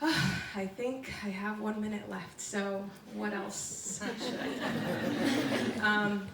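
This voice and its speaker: A young woman giving a casual, earnest talk, chatty and quick to laugh. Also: medium pitch, vocal fry, a bit bright, a little hiss or hum. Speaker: food truck owner speaker. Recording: roomy, distant from the mic, faint background ambience.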